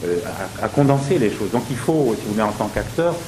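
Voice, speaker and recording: A man's voice: mid-range voice, lively intonation, fast. A man, an actor, talking through his theatre work with many restarts and hesitations. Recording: hissy recording.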